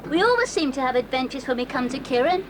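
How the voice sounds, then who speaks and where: Woman playing an eager schoolboy, really high, lots of tape hiss. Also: big pitch swings. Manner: keen, quick to exclaim. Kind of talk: 1980s audio drama acting. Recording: hissy old cassette tape.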